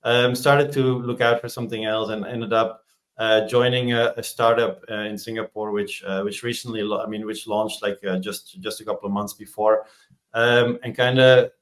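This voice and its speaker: A man, a podcast guest talking in a relaxed, rambling way as he searches for words. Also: on the low side, moderate pace. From Belgium. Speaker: podcast guest, startup executive. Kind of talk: casual interview chat.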